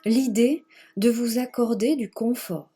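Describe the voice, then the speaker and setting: A woman leading a guided yoga nidra relaxation in a gentle, measured manner, leaving long pauses and repeating phrases. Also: on the high side, breathy edge, soft background music. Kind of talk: guided meditation, slow spoken relaxation.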